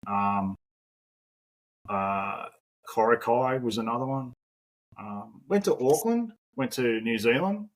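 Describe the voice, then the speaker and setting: A man's voice: medium pitch, animated, slow pace, long pauses, rough recording. An Australian man in his forties, a podcast guest talking casually, rambling with lots of fillers and the odd swear word. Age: 40s. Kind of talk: casual conversational interview.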